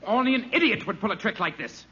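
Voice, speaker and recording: High-voiced, stern, impatient gentleman. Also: big pitch swings, quick pace, full character voice. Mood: indignant. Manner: brusque, clipped, threatening. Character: stern, impatient gentleman demanding his property. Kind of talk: 1940s-50s radio comedy acting. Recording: muffled, very noisy old radio recording.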